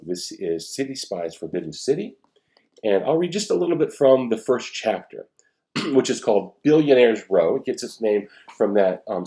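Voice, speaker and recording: A man's voice: low voice, mellow. A man speaking as an author, conversationally introducing and reading a storybook aloud.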